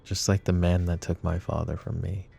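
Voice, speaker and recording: A man playing a calm, menacing, flirty mafia boss in an intimate ASMR roleplay, speaking deliberately in a gravelly murmur. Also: sits low, faint music behind, exaggerated voice. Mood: brooding, commanding. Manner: deliberate, coaxing, philosophizing.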